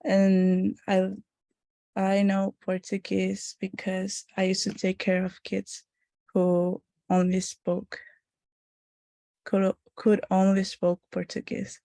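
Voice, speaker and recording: A woman's voice: medium pitch, completely flat delivery, slow, warm tone, no room sound. A young woman from Honduras giving a class presentation, hesitant, with fillers and small laughs. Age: young adult. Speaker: student presenter.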